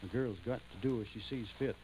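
An actor in an old radio drama playing a humble young backwoods farmer turned soldier, with a halting, folksy rural Tennessee drawl. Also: male voice, medium pitch, background noise, close to an everyday voice. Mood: bashful, hesitant. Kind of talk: 1940s radio drama acting.

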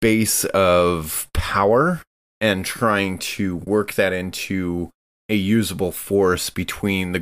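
A man playing a tabletop game, casually improvising a description of what his character does, haltingly, searching for words. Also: sits low, fairly bright tone.

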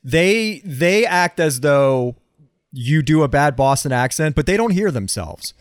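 A man's voice: fairly high pitch, big pitch swings, measured pace, no room sound. A man co-hosting a podcast, ribbing his partner in a dry, sardonic, teasing way. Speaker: podcast co-host. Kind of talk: casual chat.

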